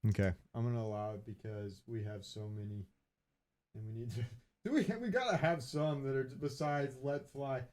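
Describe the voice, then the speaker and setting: A man's voice: mid-range voice, normal speed, darkish tone, no echo. A man hosting a gaming podcast, chatting casually and jokingly with his co-host.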